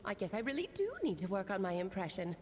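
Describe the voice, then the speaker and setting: A woman's voice: medium pitch, very animated, fast talker, a little hiss or hum, natural voice. A woman playing a breezy, eccentric witch auntie in an anime video game, with a theatrical storyteller's flourish. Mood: teasing, breezy.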